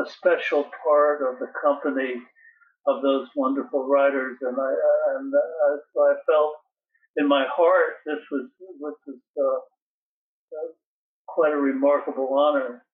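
A man in his eighties from Connecticut, an interview guest who speaks haltingly and repeats his words. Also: on the high side. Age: 80s. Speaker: poet and guest. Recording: distant tinny phone line.

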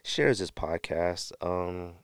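Low-voiced American man podcasting casually. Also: lively intonation, slow, clear, resonant tone, no room sound, studio quality. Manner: conversational, slangy, emphatic repetition. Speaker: podcast host. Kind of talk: casual solo podcast talk.

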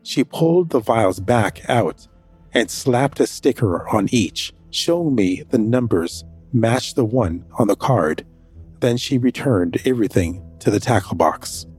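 A man's voice: fairly low pitch, lively intonation, a little slow, darkish tone, breathy edge, faint music behind, heavily acted. A man voicing a nervous first-person narrator. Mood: steady narration. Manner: anxious, hesitant in dialogue. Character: nervous young man hiding his sick brother. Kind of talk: audio drama narration.

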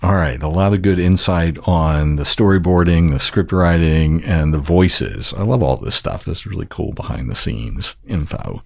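A man's voice: deep voice, animated, moderate pace, creaky, hardly any pauses, a little mellow, no room sound, clean studio sound. A man hosting an interview podcast in an upbeat way, leading the questions. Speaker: podcast host.

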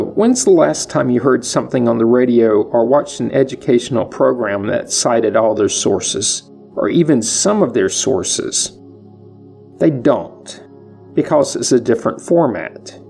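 A man hosting a solo history podcast, speaking in a measured, matter-of-fact way. His voice has a gravelly edge, and his pitch moves around a lot. Faint music sits low behind him. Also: mellow. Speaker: podcast host. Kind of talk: scripted solo podcast monologue.

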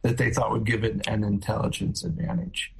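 A man's voice: on the low side, expressive, a little fast, vocal fry, noisy background. A man explaining a security issue as an interviewed expert, thinking aloud as he goes.

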